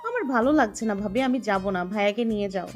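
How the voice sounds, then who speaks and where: Stubborn young female lead played by an actress, medium pitch, firm and curt, audio drama with light music. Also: lively intonation, fast, lightly acted. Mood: reluctant, curt. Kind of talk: Bengali audio story dialogue. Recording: music bed under the voice.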